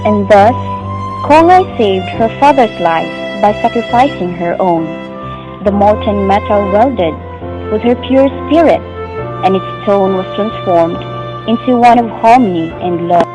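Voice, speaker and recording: A woman's voice: medium pitch, animated, a little slow, music in the background, lightly acted, rough recording. A woman playing a solemn storyteller, narrating a legend in scripted, dramatic style. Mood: solemn, reverent.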